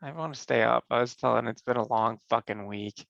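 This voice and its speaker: A man's voice: medium pitch, brisk, creaky, fairly bright tone, dry recording, highs cut off. A man chatting casually with friends after a tabletop game, laid-back, laughing easily and swearing often. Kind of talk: casual chat among friends.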